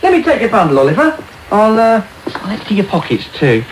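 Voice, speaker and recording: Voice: mid-range voice, big pitch swings, a little fast, noisy background, natural voice. A performer playing a brash, mocking young male street thief in a radio drama, slangy and teasing. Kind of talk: 1990s radio drama acting. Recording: off-air radio broadcast with loud music.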